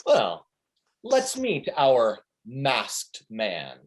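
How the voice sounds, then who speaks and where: Goofy bro sidekick, male actor at a table read: dopey, jabbing, animated, unhurried delivery. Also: mid-range voice, heavily acted. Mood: teasing. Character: goofy, excitable dude sidekick named Steve. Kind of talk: comedic script table read.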